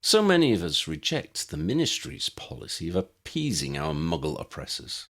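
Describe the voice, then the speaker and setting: A fanatical dark wizard from a fantasy audiobook addresses his followers, voiced by a male narrator. He is quiet, calm and solemn, low and gravelly, with measured rises for emphasis. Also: full character voice. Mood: righteous grievance.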